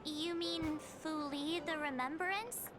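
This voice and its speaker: Perky young game heroine, high voice, earnest, anime style, music behind. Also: female voice, slow pace. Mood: curious, hopeful. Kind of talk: scripted anime game dialogue.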